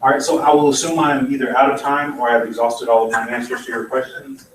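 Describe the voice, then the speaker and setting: A man's voice: medium pitch, flat delivery, fast talker. Blunt man speaking in an echoey hall. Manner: blunt and opinionated, with dry asides. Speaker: conference speaker. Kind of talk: candid conference talk with Q&A. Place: conference hall. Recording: echoey hall, mic from far off, faint noise.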